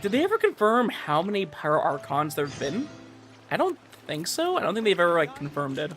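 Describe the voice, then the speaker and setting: A man's voice: sits high, huge pitch swings, fairly quick, fairly bright tone. A man streaming a game, chatting casually with viewers and thinking aloud as he goes. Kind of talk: casual livestream chat over gameplay. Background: game music.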